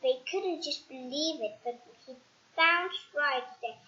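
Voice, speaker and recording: A child reading a storybook aloud in an animated way, haltingly, with restarts and dramatic emphasis. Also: high voice, highs cut off. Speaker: storybook reader.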